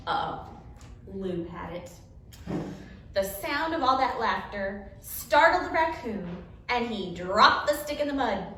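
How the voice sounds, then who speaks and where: A woman with a high voice reads a children's story expressively. She takes her time, pausing for drama and leaning on key words. The recording is reverberant and a little distant. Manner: expressive, with dramatic emphasis and pauses. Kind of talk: animated picture-book read-aloud for children. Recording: echoey room, distant mic, light noise.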